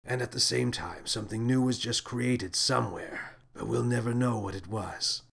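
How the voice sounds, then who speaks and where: A man voicing an adult male spaceship captain in a children's sci-fi story, grave and sorrowful but excitable when alarmed. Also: medium pitch, normal speed, gravelly, husky. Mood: somber, resigned. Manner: dramatic, brooding, blurting when agitated.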